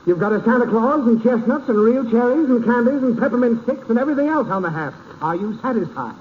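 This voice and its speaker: A man's voice: very high-pitched, animated, fast talker. An actor playing a fussy, easily flustered father in a 1930s radio comedy, pleading and exasperated. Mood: coaxing, exasperated. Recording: muffled, noisy 1930s radio broadcast.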